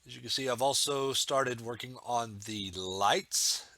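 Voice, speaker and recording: A man's voice: mid-range voice, unhurried, sharp tone, no room sound. A man talking through his building project while working, rambling and thinking aloud with many fillers, getting over a sore throat and a bit of a cold. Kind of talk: casual build commentary.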